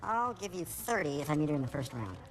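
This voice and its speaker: A woman's voice: sits low, sing-song, fast talker, low-quality recording. A woman playing a sarcastic, short-tempered tabletop gamer who rants theatrically in a comedy film. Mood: dry. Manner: sardonic, theatrical ranting. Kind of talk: scripted comedy film acting.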